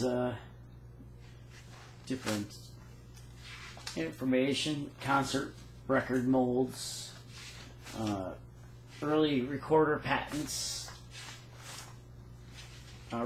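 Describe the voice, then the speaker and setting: Man, halting, reads dates and patent text aloud, roomy echo, faint room hum. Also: medium pitch, fairly even intonation. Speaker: phonograph cylinder maker. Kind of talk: casual show-and-tell walkthrough.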